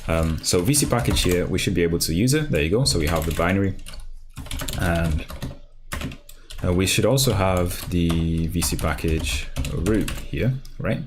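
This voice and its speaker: A man from Brazil, Portuguese his first tongue, hosts a relaxed programming livestream and talks through the setup. His voice sits very low, and he keeps trailing off and restarting his sentences. Keyboard typing and a faint hiss can be heard behind him. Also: moderate pace. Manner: casual, rambling with many fillers and restarts. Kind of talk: live coding tutorial, thinking aloud.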